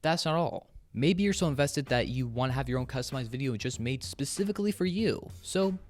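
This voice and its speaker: A man's voice: medium pitch, lively intonation, fairly quick. A young man narrating an opinion video essay in a conversational, frank and emphatic way, sometimes swearing. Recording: music under the voice. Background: background music.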